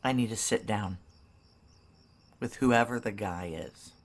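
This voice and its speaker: A woman's voice: extremely low pitch, takes it slowly, full character voice. An actress playing a tough, blunt, world-weary female security operative in a noir audio drama, speaking in terse, salty phrases. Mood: insistent, resolute. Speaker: lead actor and narrator in an audio drama. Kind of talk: noir audio drama acting with intimate first-person narration.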